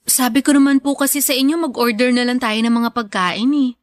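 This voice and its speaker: A woman's voice: fairly high pitch, a little fast, dry recording, natural voice. A woman playing a devoted, respectful young Filipino graduate in a radio drama, speaking sweetly and politely to a parent. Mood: polite, reassuring.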